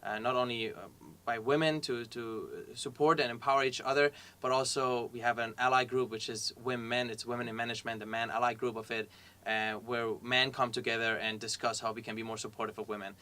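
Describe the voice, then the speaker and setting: The bright-toned young man from Iran on a student panel, working out his answer as he talks to prospective applicants. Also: medium pitch, flat delivery, fairly quick, few pauses. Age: young adult. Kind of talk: conversational Q&A answers.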